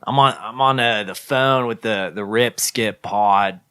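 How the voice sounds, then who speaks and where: A man acts out a shady small-town stoner and graffiti tagger for improv comedy. His voice is lowish and clean, recorded dry, and he rambles in chummy, slightly defensive slacker slang. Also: unhurried, bright, forceful tone, smooth, no echo. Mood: rambling, easygoing. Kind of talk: improvised comedy phone-in character.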